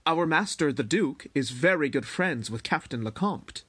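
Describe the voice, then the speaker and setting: A man's voice: fairly high pitch, expressive, normal speed, a bit bright, breathy edge, no room sound. A man playing a discreet but gossipy servant of a Duke in a period audio drama, speaking with courteous, archly formal phrasing. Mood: knowing. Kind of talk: formal period stage-play acting.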